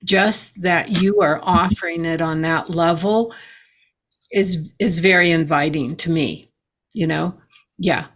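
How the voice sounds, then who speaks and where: Woman podcast host, slow and low, very encouraging. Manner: encouraging, warm reactions. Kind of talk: podcast interview.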